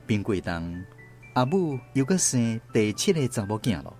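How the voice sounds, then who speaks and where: A woman's voice: a bass voice, sing-song, moderate pace, warm tone. An actress playing a daughter who narrates her family's past in Taiwanese Hokkien, in a radio drama. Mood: reminiscing. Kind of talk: scripted storytelling narration. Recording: music behind.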